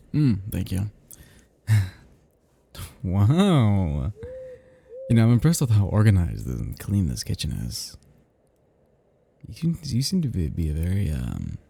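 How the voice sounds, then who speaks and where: A man playing an ancient, flirtatious, possessive vampire in an intimate ASMR roleplay, speaking to the listener softly and huskily in a halting, teasing, casually menacing way. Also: on the low side, slight background noise, heavily acted. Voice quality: soft, husky, gravelly.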